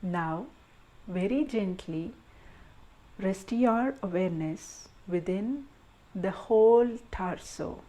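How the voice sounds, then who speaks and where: A woman guides a meditation with a soft, soothing delivery. Her tone is mellow and warm, at a middle pitch for a woman. She moves very slowly, setting down short phrases with pauses between them.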